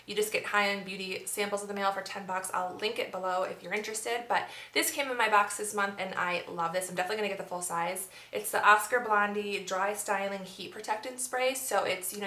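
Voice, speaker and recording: A woman's voice: medium pitch, sharp tone, reverberant. A woman in her twenties chatting casually and upbeat about her favorite beauty products, with lots of fillers. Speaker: beauty vlogger. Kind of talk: casual monthly favorites review.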